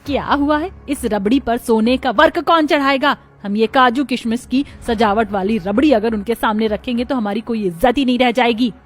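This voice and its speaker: A woman's voice: on the high side, normal speed, hardly any pauses, close to an everyday voice. A woman voicing a harsh, middle-aged, domineering rich mother-in-law in a cartoon moral story, scolding and taunting. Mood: furious.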